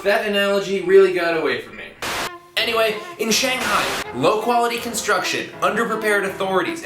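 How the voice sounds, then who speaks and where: A man hosts a comedic explainer with a sarcastic, theatrical delivery. He breaks into sudden exclamations. His voice sounds roomy and sits over loud music. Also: sits high. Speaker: comedic web video host. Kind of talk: comedic scripted explainer narration.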